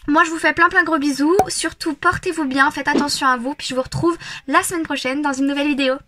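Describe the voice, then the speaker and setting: High-voiced chatty young woman vlogger. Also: steady tone, fast, pushed and bright, slight background noise. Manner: chatty, frequent hesitations and repeated words. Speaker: beauty vlogger. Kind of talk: casual makeup review vlog.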